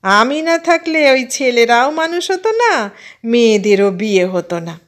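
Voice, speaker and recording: A woman's voice: fairly high pitch, very animated, unhurried, pitched above the performer's own voice. A woman voicing an older housewife in an audio story, a boastful, complaining aunt who scolds and belittles her husband. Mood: boastful, lecturing. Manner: complaining, self-important, emphatic scolding.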